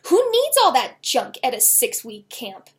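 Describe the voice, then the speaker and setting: A woman voicing an irritable, quick-tempered father in a children's novel, scolding loudly and emphatically. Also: sits high, wildly swooping pitch, full character voice. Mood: angry, scolding. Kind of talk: children's novel read aloud.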